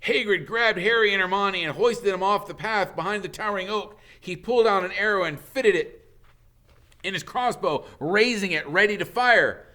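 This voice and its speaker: A man's voice: high-pitched, moderate pace, pushed and bright. A man reading a storybook aloud as a teacher would, in dramatic narration.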